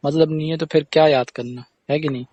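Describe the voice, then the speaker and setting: A man's voice: on the high side, steady tone, fast, warm tone, no room sound. A man playing a reassuring, familiar friend in a casual phone-call drama, talking colloquially with frequent questioning tags. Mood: coaxing, advising. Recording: clear background noise on recording.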